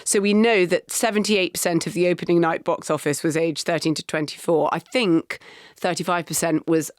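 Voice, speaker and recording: A woman co-hosting a podcast in casual chat. She talks in animated bursts, full of restarts and quick asides. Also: on the low side, dry recording, studio quality. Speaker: podcast co-host.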